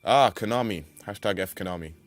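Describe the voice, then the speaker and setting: A man's voice: fairly low pitch, fairly quick, heavy background noise. A young man hosting a video game playthrough with casual, rambling commentary, playful slang and mild swearing. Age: young adult. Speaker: let's play host.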